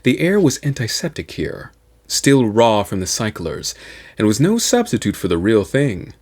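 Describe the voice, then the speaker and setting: A male narrator reads science fiction with theatrical deliberation. His voice sits a little low and rises and falls widely, giving the scripted prose a dramatic storytelling feel. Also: very animated, moderate pace, darkish tone. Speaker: amateur audiobook narrator.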